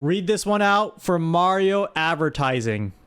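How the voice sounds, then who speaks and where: A man's voice: high-pitched, lively intonation, unhurried, a bit bright. A man hosting a stream with casual comedic commentary, exasperated and playfully scolding as he reads out what viewers write. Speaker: streamer host.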